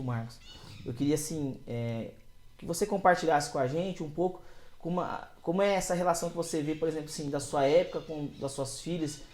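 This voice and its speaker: A man's voice: fairly high pitch, a little mellow, reverberant. A man from Brazil, a pastor hosting a church podcast, asking long winding questions in an earnest way. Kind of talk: casual podcast interview.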